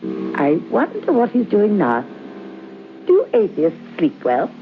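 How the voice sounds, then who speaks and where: An actress playing an imperious, scornful mistress of a haunted house in a radio horror drama, haughty and dismissive, with a husky voice. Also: female voice, mid-range voice, wildly swooping pitch, slow pace, slight background noise, exaggerated voice. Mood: musing, scornful. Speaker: actor. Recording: tinny, narrow-band old radio recording.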